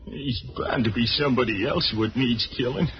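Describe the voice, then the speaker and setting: A man's voice: medium pitch, moderate pace, close to an everyday voice. An actor playing a bloodthirsty outlaw henchman eager to kill, with drawling rustic speech, in a 1940s Western radio serial. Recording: muffled, noisy old radio recording.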